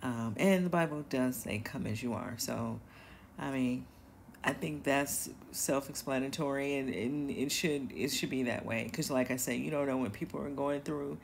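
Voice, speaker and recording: A woman hosting a casual commentary show, her voice slightly gravelly with some vocal fry. She rambles through her opinions with plenty of fillers and hesitant restarts. A faint hiss of noise sits behind her. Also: a bass voice, moderate pace. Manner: chatty, hesitant, full of fillers and little laughs. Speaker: commentary channel host.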